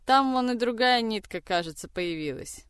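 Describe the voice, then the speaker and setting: A woman's voice: fairly high pitch, expressive, moderate pace, a bit bright, clean tone, no room sound, pitched above the performer's own voice. A woman voicing a dull, obedient grown son who answers his mother offhandedly. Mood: offhand.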